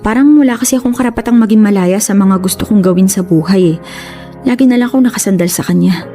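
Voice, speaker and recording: Casting: woman to play young Filipina wife for radio drama, earnest, gentle mellow tone, faint music bed. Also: mid-range voice, quick pace, natural voice. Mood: hurt, dejected. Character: discouraged young wife, earnest and eager for independence.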